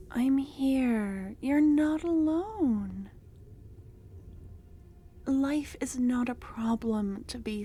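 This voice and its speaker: A woman's voice: on the high side, full character voice. Woman playing a whispering possessive yandere cultist. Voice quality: breathy whisper. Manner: soft half-whispered, coaxing and soothing. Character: obsessive, possessive young woman cultist, sweetly unhinged. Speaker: ASMR roleplay performer. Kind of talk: ASMR fantasy roleplay.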